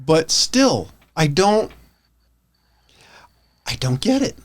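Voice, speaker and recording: A man's voice: a little high, sing-song, very slow. A man co-hosting a podcast in casual banter, often repeating words and restarting his sentences. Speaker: podcast co-host.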